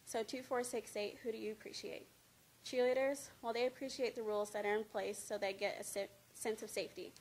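A woman's voice: on the high side, level tone, a little fast, a little mellow. A young adult woman, a student speaker, reading a scripted informative speech from notes at a lectern microphone. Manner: reads from notes, stumbling over words. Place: indoor studio with green screen. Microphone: handheld-type mic on a stand at a lectern. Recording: clear background noise, room ambience.

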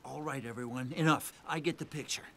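An actor voicing a decisive, earnest young prince in a cartoon, commanding and articulate. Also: male voice, medium pitch, fairly even intonation, a little fast, gravelly, heavy background noise, natural voice. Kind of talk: cartoon hero acting.

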